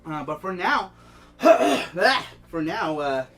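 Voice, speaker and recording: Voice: medium pitch, sing-song, very slow, a bit bright. A livestream host with a head cold and congested, stuffy-nosed sound, chatting casually and reading out viewer comments. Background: background music.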